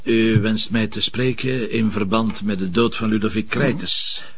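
An actor playing a gruff, stubborn police commissioner in a TV drama, with a Flemish Belgian accent and a gravelly voice. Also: male voice, on the low side, fairly even intonation, a little slow, a bit bright, a little hiss or hum. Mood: probing, calm. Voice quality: gravelly, husky. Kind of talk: naturalistic TV drama acting.

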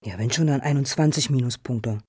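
A woman's voice: rumbling low, fairly quick, darkish tone, slightly rough. An actress playing a proper female panel chair in a satirical radio play, speaking formally and keeping order. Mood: affronted.

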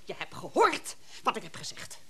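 A woman's voice: medium pitch, wildly swooping pitch, normal speed, a loud crowd. An actress playing a jealous, embittered, imperious wife in a radio drama, scornful and commanding in her delivery. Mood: cold threatening scorn.

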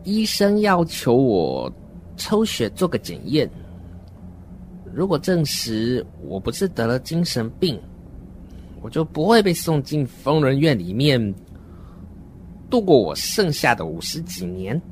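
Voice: medium pitch, very animated, unhurried, long pauses, darkish tone, slight background noise. An adult performer voicing a naive, uneasy, wordy seven-year-old child who narrates a horror radio drama in an earnest, dramatic way.

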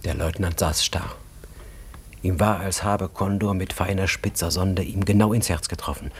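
A man reading literary, scripted narration for a radio drama in a husky voice. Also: sits low, steady tone, normal speed.

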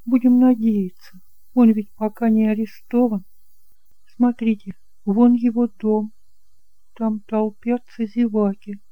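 Female actor as a worried, devoted aunt; slow, pleading, very mellow, close dry sound, homemade radio play. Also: on the high side, level tone, no echo, narrow, tinny audio. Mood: anxious. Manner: earnest, pleading. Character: anxious, devoted aunt pleading for an accused doctor. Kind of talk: amateur audio drama acting.

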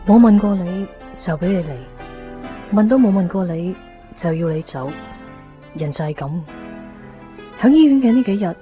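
A woman's voice: mid-range voice, expressive, moderate pace, long pauses, lightly acted. A woman playing a wistful, innocent young woman in love in a radio drama, dreamy and confiding. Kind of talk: Cantonese radio drama narration and dialogue. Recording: dull lo-fi radio recording, music behind.

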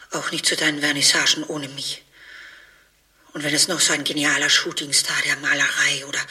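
An actress playing an agitated, garrulous wife in a satirical radio play, rambling indignantly and breaking off mid-sentence. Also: female voice, low voice, pushed and bright, slightly rough, full character voice. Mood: outraged.

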